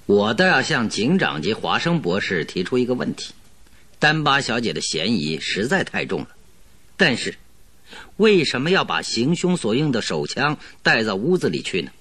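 A man playing a shrewd detective in a radio drama, questioning and reasoning in a deliberate way. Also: mid-range voice, very animated, a bit bright, slightly rough. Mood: reasoning, sceptical. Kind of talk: Mandarin radio drama acting.

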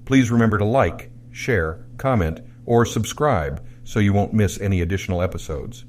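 A man reading a short promotional introduction in a plain, even way. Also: fairly low pitch, moderate pace, slight background noise. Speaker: website announcer.

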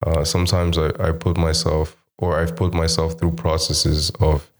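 A young man talking casually about making music, relaxed and repeating his words, slipping Portuguese words into his English. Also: very deep voice, moderate pace. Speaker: musician guest. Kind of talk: casual chat.

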